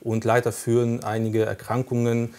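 A man's voice: medium pitch, monotone, moderate pace, darkish tone. A man whose first language is Russian, working in police recruitment, answering interview questions factually with frequent hesitations. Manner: factual, explaining with frequent fillers. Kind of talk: studio interview guest.

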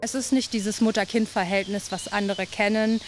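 A woman giving calm, measured interview answers with some hesitant fillers. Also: medium pitch, faint music behind. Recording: hissy recording.

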